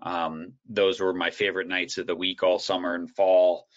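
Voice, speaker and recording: A man guest on a video call, telling his coaching story in a relaxed, rambling way with many fillers and restarts. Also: low-pitched, normal speed. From United States. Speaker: guest speaker, soccer coach educator. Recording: dull, lo-fi call audio.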